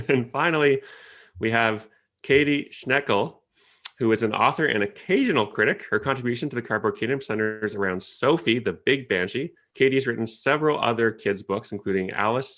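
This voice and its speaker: Relaxed man moderating a panel. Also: mid-range voice. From Ottawa, Canada. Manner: relaxed, chatty, with hesitant fillers and small laughs. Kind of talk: casual moderating of an online panel. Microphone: video call.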